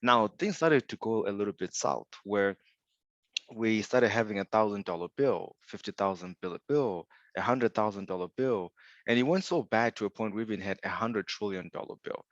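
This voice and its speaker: A young man from Zimbabwe presents on a video call in a low, steady voice. He keeps things upbeat and tells stories with asides. The call audio is dry and lo-fi. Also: normal speed, no room sound. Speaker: insurance sales director presenting. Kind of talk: webinar presentation.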